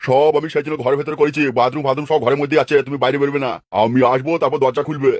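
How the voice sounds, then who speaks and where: A man's voice: medium pitch, little pitch movement, very fast, no echo, lightly acted. A man playing a jealous, fretful mason husband, bossy and fussy, in a comic cartoon dub. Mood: bossy, worried.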